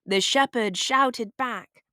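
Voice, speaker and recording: A woman narrating a children's storybook aloud in an expressive, deliberate way. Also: fairly high pitch, dry recording, clean studio sound.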